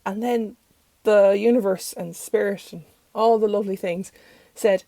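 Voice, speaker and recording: Croaky-voiced Irish woman, chatty. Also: medium pitch, steady tone, a little slow, warm tone, dry recording. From Ireland. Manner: chatty and rambling, self-interrupting. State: croaky, recovering from illness. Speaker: tarot channel host. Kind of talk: casual deck review. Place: bedroom at home.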